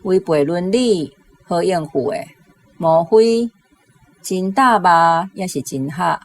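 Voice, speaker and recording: A woman with a Taiwanese accent reading translations deliberately as a language teacher. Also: on the low side, expressive.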